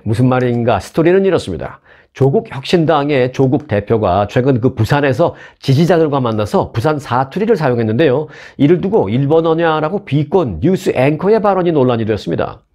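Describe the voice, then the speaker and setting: A man's voice: medium pitch, a little mellow. A man from Seoul hosting a news commentary show in a chatty, conversational way, with asides and rhetorical questions. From Seoul, South Korea.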